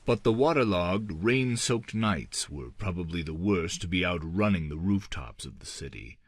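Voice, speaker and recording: A man reading an audiobook as dramatic scripted narration, in a measured, theatrical manner. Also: sits low, animated, slightly rough, no echo. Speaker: audiobook reader.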